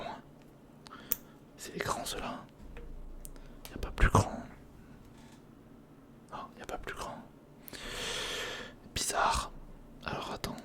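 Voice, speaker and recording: An ASMR creator speaking in a breathy, unvoiced whisper, casually thinking aloud and repeating words, close to the microphone. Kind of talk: ASMR, whispered build-along commentary. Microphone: close recorder microphone.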